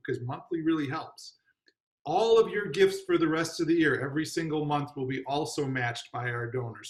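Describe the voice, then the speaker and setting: An upbeat man hosts a fundraising stream in a chatty, loose way, pitched a little high for a man. His voice bounces around a roomy, echoey space. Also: a bit bright. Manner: upbeat, chatty, with fillers and restarts. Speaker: host. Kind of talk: livestream fundraising host.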